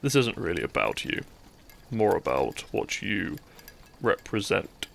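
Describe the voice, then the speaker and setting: A man playing a bitter, brooding thief in a scripted audio roleplay, speaking straight to the listener with mocking politeness and dramatic pauses. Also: sits low, huge pitch swings, gravelly, a little hiss or hum, full character voice. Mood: cold, menacing. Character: bitter young male thief, kidnapper, once a noble's childhood friend.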